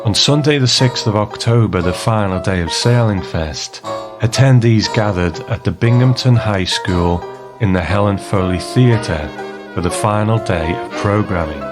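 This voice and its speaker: A man narrates from a script in a lowish voice. Loud plucked guitar music plays behind him. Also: measured pace. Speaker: podcast narrator.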